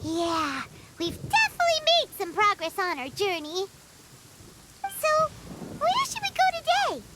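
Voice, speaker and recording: Squeaky, very high-pitched childlike fairy companion. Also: female voice, huge pitch swings, a little slow, heavy background noise, heavily acted. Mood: cheerful. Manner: chirpy, refers to self in third person. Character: tiny childlike female floating companion, excitable, chatty, indignant. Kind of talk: anime game dialogue.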